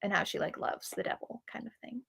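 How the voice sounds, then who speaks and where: A woman co-hosting a live book discussion, casual and agreeable, often answering in quick short replies. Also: a bit bright, slight background noise. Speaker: book club co-host.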